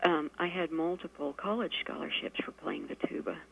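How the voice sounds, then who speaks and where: A woman with a fairly low voice, talking in a measured, casual way as an interview guest and often pausing on fillers. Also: big pitch swings, vocal fry, a little hiss or hum. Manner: measured, frequent fillers, laughs easily. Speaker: guest musician and poet. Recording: lo-fi radio recording.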